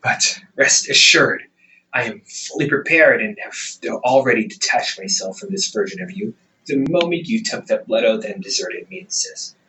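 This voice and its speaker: A young man playing a protective, weary half-demon older brother in a dramatic audio roleplay, pleading and exasperated with emphatic, halting delivery. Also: mid-range voice, lively intonation, bright, forceful tone, far from the mic, a little hiss or hum, rough recording. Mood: sardonic.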